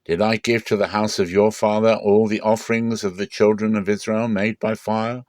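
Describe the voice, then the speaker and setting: A stern prophet voiced by a man proclaims divine judgment in a dramatic scripture reading. The delivery is solemn, forceful and declamatory, with a close, dry sound. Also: on the low side, level tone, moderate pace, no room sound, pitched above the performer's own voice, close to an everyday voice. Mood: stern rebuke.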